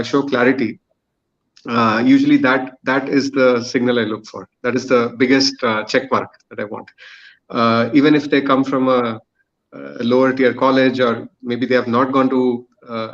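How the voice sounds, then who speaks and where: A man speaking as an employer on a podcast panel, in halting, stop-and-start phrases. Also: mid-range voice, highs cut off.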